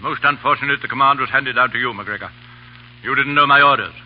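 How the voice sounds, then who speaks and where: An actor playing a stern, rigid older colonel in a 1930s radio drama, curt and imperious, with a husky voice. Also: male voice, mid-range voice, fast, lightly acted. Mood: stern, indignant. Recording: muffled 1930s radio broadcast.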